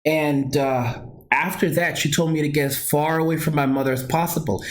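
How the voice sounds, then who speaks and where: Earnest man with a high-ish voice. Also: fairly even intonation, normal speed. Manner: earnest, emphatic, repeats himself, talks straight to viewers; frequent restarts and self-corrections. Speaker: vlogger. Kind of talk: personal storytelling to camera.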